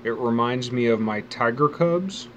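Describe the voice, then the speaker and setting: A man's voice: medium pitch, lively intonation, a little slow, a little hiss or hum. Musing, profane man in his forties. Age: 40s. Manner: casual, profane, wry, musing aloud. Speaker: vlogger. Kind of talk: rambling personal monologue. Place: outdoors near a bench.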